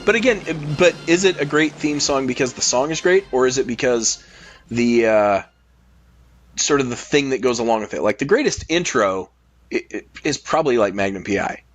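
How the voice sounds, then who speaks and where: A man's voice: mid-range voice, animated, moderate pace. A man in casual, profanity-laced podcast conversation, speaking as a host.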